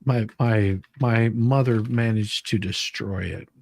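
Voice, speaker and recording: A man's voice: lowish voice, fairly even intonation, slow, gravelly, no room sound, clean studio sound. A man hosting a casual livestream, rambling and repeating words as he thinks aloud. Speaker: livestream host.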